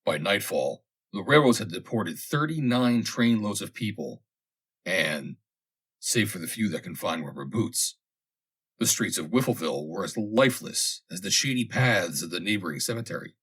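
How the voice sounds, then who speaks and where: Low-voiced, husky man reading a story. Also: lively intonation, normal speed, fairly bright tone. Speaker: audiobook reader. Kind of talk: scripted narration of a comic vintage story.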